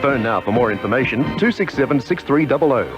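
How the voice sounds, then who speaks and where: A man announces a scripted radio commercial in 1980s style. His pitch moves in a lively way over clear music, on a muffled old tape. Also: fast.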